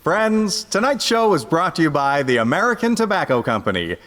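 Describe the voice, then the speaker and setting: An actor playing a hearty, formal commercial announcer in a 1950s radio show, in a reverberant space. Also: male voice, on the high side, very animated, brisk, fairly bright tone. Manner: formal, salesmanlike. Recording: long hall-like reverb.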